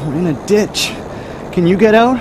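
A man playing a smooth-talking, reckless boyfriend behind the wheel in a horror radio drama. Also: high voice, expressive, normal speed, background noise. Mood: concerned.

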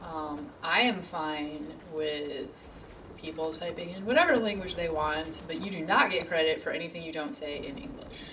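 A woman's voice: sits low, moderate pace, fairly bright tone, distant mic, a little hiss or hum, low-quality recording. A woman teaching a college class on a stream, chatting casually and answering chat questions from her couch at home. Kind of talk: casual lecture over gameplay. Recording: game music and sound behind her.

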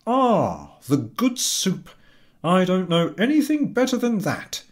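A man's voice: sits high, huge pitch swings, slow, pitched above the performer's own voice, exaggerated voice. A man voicing an eager, well-meaning clerk husband in a storybook, stammering and pleading. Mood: delighted.

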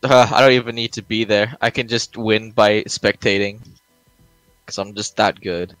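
A man's voice: on the low side, steady tone, moderate pace, a bit bright, dry recording, low-quality recording. A man teasing and joking with his teammate while spectating a game, laughing often. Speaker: gamer teammate. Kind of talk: casual gameplay chat. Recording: dry close sound with game music behind.

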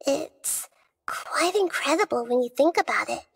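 A woman voicing a gentle child-like girl deity in a video game, speaking in a measured, articulate, reflective way. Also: sits high, lively intonation, creaky, very noisy, exaggerated voice. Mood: pondering. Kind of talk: scripted game dialogue. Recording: game music behind.

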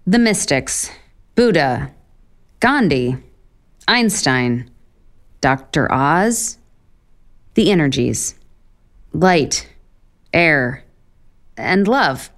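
A flustered American woman author in a comedy audio drama. Her voice sits a little low but swings widely in pitch. She is sardonic and self-deprecating, halting and dropping into deadpan asides. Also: exaggerated voice. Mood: halting reading aloud.

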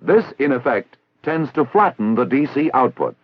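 American training film narrator, dull vintage soundtrack. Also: male voice, mid-range voice, expressive, breathy edge, dry recording. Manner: formal, measured, didactic. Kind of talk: scripted instructional narration. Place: recorded voice-over.

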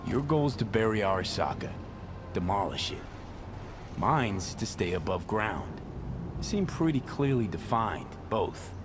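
An actor playing a wary, streetwise young mercenary in a video game, with a blunt, terse, skeptical delivery. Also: male voice, on the low side, sing-song, a little slow, over music.

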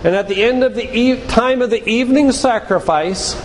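A man's voice: very high voice, normal speed. An older man giving a sermon-like lecture, retelling Bible stories in a didactic way and addressing listeners directly. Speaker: physician giving a guest talk at a church. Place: large room, church. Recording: reverberant hall with clear background noise.

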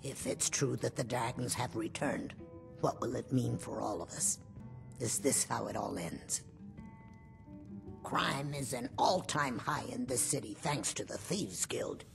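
An actress playing a grumbling, worried lizard-folk innkeeper in a fantasy game, with a husky voice. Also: female voice, a bass voice, measured pace, music in the background, heavily acted. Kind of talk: fantasy video game dialogue.

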